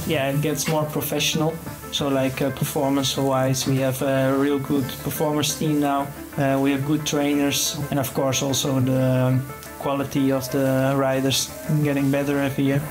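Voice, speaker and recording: Monotone man in interview over music. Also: mid-range voice, moderate pace, rough recording. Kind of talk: interview talk.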